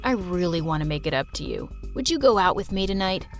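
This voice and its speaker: A woman's voice: lowish voice, expressive, fast talker, soft background music, voice pushed down, lightly acted. A woman voicing a smug, rich teenage boy bully with a sneering, mocking manner. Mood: fake sweet.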